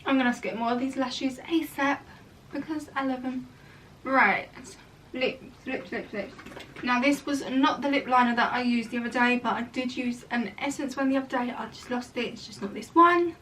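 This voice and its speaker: A young woman chats her way through a makeup tutorial, wandering into casual asides as she works. Her voice sits high and sounds a touch croaky. She is recorded at home from a little way off the mic, with a faint hiss underneath. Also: normal speed, fairly bright tone, recorded from a distance. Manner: chatty, rambling asides. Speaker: makeup vlogger. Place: room at home.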